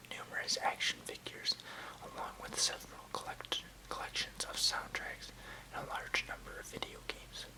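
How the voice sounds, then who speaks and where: A soft, fully whispered voice from an ASMR host. The delivery is unhurried and hushed, moving between casual remarks and careful reading of written text, with no voiced speech. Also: poor audio.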